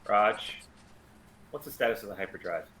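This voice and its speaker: Man playing a low-voiced, cynical smuggler captain. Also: moderate pace, fairly bright tone, close to an everyday voice. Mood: tense, commanding. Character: gruff, cynical male smuggler starship captain. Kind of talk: script table read.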